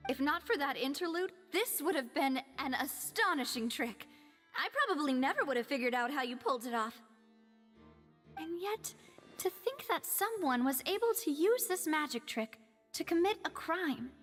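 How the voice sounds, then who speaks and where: A young female investigator in a video game. Her voice is high, polite and earnest, as if thinking aloud. Music plays behind it in a reverberant space. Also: expressive, moderate pace, fairly bright tone. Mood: thoughtful, earnest.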